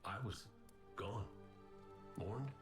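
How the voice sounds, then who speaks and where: A man playing a wry, weary revived gunslinger hero in a video game cutscene, speaking haltingly and reflectively. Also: on the low side, expressive. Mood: somber, reflective. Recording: music under the voice.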